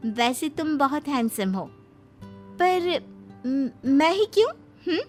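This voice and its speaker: A hopeful, excitable maid's daughter in a cartoon family tale, voiced by a woman. The young woman sounds very high-pitched, girlish and coy, eager in her delivery. Music runs behind her. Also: slow pace, exaggerated voice. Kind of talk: Hindi moral-story cartoon dialogue.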